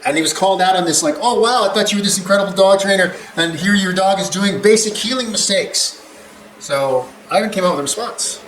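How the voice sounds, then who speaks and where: A man's voice: high voice, fairly quick, fairly bright tone. An older man, a longtime dog trainer, giving a blunt, rambling reaction commentary with the odd chuckle. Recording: echoey room with faint background hum.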